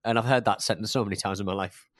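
A man's voice: lowish voice, fairly even intonation, racing, a bit bright, no room sound, clean studio sound. A man in comic podcast chat, rambling along in a silly, self-mocking way. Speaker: podcast panellist.

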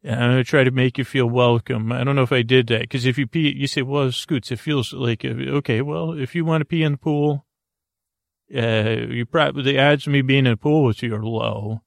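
A man hosting a bedtime podcast, rambling softly off on tangents in lulling, creaky, dulcet tones. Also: mid-range voice, steady tone, moderate pace, dry recording, clean studio sound. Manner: meandering, tangent-prone, self-interrupting, drowsy and soft. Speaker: podcast host.

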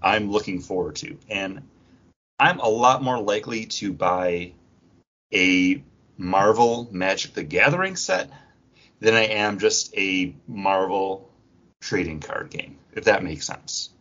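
A man co-hosting a podcast, casually running through news with a fan's enthusiasm and a husky voice. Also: on the low side, lively intonation, slow, a bit bright. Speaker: podcast co-host. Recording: dull lo-fi recording.

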